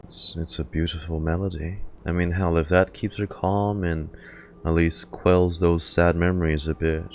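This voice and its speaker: A man giving live reaction commentary on a game story, muttering and often dropping to a hush. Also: deep voice, level tone, normal speed, slight background noise.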